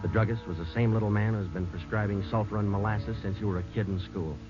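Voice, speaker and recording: Actor as a low, clipped, deadpan police sergeant. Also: male voice, fast, close to an everyday voice. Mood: terse, weary. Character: terse, world-weary male police sergeant narrating his case. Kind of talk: 1950s radio police drama narration and acting. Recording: muffled vintage radio recording, music behind.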